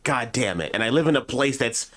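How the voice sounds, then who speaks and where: A man's voice: mid-range voice, expressive, fairly quick, a bit bright. A man co-hosting a podcast in casual group chat, complaining playfully with wry remarks and some swearing. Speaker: podcast co-host.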